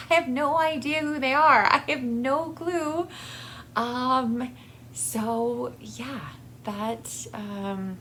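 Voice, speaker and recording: A woman chatting casually as a book vlog host, in a halting, hesitant way that often trails off mid-thought. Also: a little high, fairly bright tone, a little hiss or hum.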